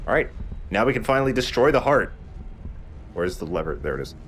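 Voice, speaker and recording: Male let's play host, low voice, conversational and jokey, swears casually, noticeable background noise. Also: big pitch swings, a little fast. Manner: conversational, joking, casually profane. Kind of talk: casual gaming commentary.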